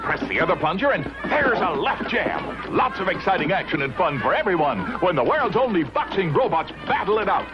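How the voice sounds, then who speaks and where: A man's voice: high voice, big pitch swings, fairly quick, few pauses. A man announcing a 1960s toy commercial in an upbeat sales manner. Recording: lo-fi old TV ad with loud noise.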